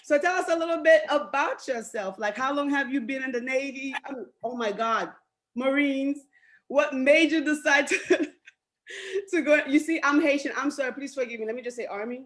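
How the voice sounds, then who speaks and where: A woman's voice: sits high, moderate pace, fairly bright tone. A woman co-hosting a podcast, chatting in a bubbly way and laughing often. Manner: bubbly, apologetic, laughs a lot. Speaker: podcast co-host.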